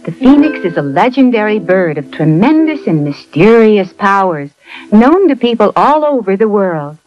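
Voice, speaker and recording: A woman narrating a scripted children's fairy tale in an expressive storytelling manner. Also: medium pitch, moderate pace. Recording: muffled lo-fi audio, faint music behind.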